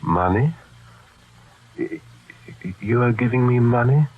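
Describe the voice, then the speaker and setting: Halting, haunted sick man, tinny radio. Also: mid-range voice, lively intonation. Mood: shaken. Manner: halting, brooding, portentous. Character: sick, guilt-haunted, fatalistic man in war drama. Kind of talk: old radio drama acting. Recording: tinny, band-limited old radio recording.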